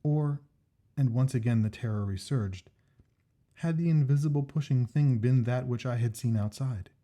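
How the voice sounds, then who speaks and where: A man reading a classic ghost story aloud as scripted narration, in a measured, expressive manner with deliberate phrasing. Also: medium pitch. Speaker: story reader.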